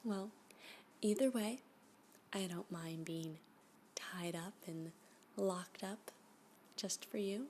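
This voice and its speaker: Woman playing a smitten stalker woman, ASMR roleplay, coy, unhurried, whispery, creaky, faint background noise. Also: mid-range voice, darkish tone. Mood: flirty, reassuring. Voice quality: breathy, often slipping into whisper. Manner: coy, slow, unhurried, with giggly asides. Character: flirty obsessive woman stalker, eagerly smitten with her captor.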